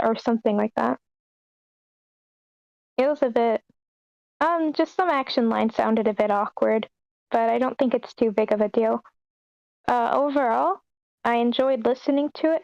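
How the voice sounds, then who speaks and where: Woman, high-ish voice, casual feedback, hesitant and self-deprecating, muffled dry audio. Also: creaky, a little mellow, no echo. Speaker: table read participant giving feedback. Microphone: online meeting call.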